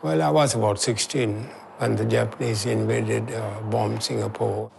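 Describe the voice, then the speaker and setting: A man in his eighties recounts wartime memories plainly in a documentary interview. His voice has a gravelly edge at an ordinary pitch, with faint noise behind him. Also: fairly even intonation, moderate pace. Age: 80s.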